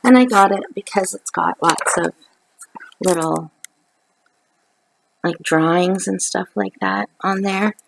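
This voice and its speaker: A middle-aged woman from Canada, hoarse from a cold, talking into a webcam from her living room couch at night. Her voice is deeply gravelly and full of fry. She rambles in a chatty way, and a faint hiss sits under the recording. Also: slow pace, long pauses, low-quality recording. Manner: rambling, chatty, many ums. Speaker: craft vlogger. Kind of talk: casual haul video. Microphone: webcam at close range.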